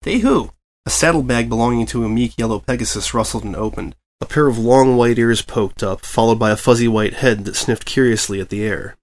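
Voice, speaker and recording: A man reading fan fiction as narrator, in an expressive scripted storytelling style. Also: mid-range voice, a little fast, few pauses.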